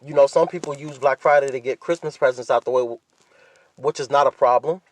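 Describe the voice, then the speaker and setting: A man's voice: medium pitch, steady tone, brisk, no room sound. An American man, youngish, chatting casually on a solo podcast in a laid-back, rambling way with plenty of swearing. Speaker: podcast host.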